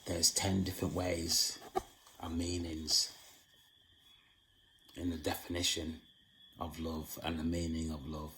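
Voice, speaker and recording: A hoarse man hosting his own channel, giving a solemn spiritual monologue in a slow, halting way with long pauses. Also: deep voice, little pitch movement, sharp tone, slight background noise, low-quality recording. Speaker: channel host.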